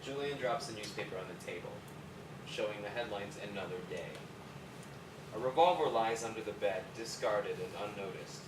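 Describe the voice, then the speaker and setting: A man reads screenplay action lines as the narrator. His voice sits a little low. He is off the mic in an echoey room, with loud noise behind him. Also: far from the mic. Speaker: narrator reading stage directions. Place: indoor room at a table read.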